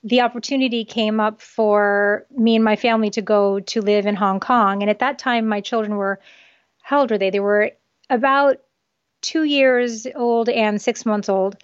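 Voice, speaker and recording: A woman in her forties from the US East Coast, Japanese, recounts things in a chatty, matter-of-fact way. Her voice is on the high side. It comes through a dull remote connection with no room sound. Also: steady tone, normal speed, clear, resonant tone, no echo. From United States, East Coast. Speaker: guest author. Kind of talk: casual interview.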